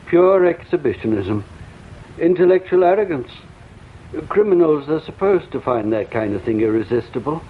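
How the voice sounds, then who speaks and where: An actor playing an educated, self-mocking, resigned gentleman culprit in a radio drama, polite and reflective, heard through a telephone. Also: a little high. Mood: rueful. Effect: telephone filter. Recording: thin phone-line sound with faint noise.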